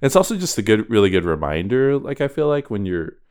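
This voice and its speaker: A man's voice: lowish voice, sing-song, fairly quick, a little mellow, clean tone. Man co-host with a clean, resonant voice, casual and rambling conversational delivery. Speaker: podcast co-host. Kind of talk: casual chat.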